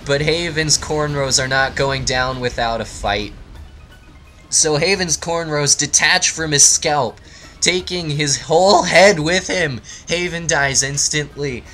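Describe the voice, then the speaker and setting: Male commentator, highish sharp voice, improvising theatrical storybook narration at an unhurried, halting pace. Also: faint music behind. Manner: mock-dramatic, halting. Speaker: let's play commentator and storyteller. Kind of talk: improvised mock fairy-tale narration.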